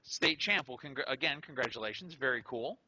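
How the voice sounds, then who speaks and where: A man's voice: mid-range voice, expressive, fairly quick, pushed and bright, husky. A man hosting a casual interview, upbeat and joking, with many fillers. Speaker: show host interviewing a tournament winner. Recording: lo-fi recording.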